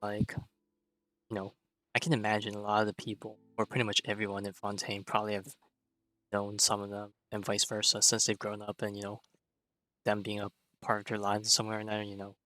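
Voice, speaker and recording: A man's voice: lowish voice, completely flat delivery, brisk, pushed and bright, dry recording, rough recording. A man giving casual, musing, tentative play-along commentary as a game streamer.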